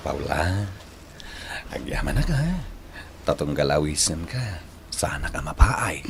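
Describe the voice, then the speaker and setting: A man acting an earnest young suitor in an Ilocano radio drama, tender, breathy and emotional. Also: extremely low pitch, animated, measured pace, a little hiss or hum, full character voice.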